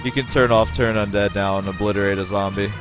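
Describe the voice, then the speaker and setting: An American man streaming a game, giving excitable, profane play-by-play and chatting with viewers. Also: on the low side, quick pace, over music. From United States. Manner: excitable, profane, talks to chat. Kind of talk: casual gameplay commentary.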